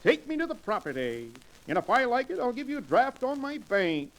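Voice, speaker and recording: A pompous Western windbag from an old radio play. His voice sits very high for a man and swoops about as he rolls out grand, florid words. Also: sing-song, brisk, fairly bright tone, full character voice, poor audio. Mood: grand, self-important. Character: pompous, wordy old Western sidekick posing as rich uncle. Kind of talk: 1940s-1950s radio drama acting.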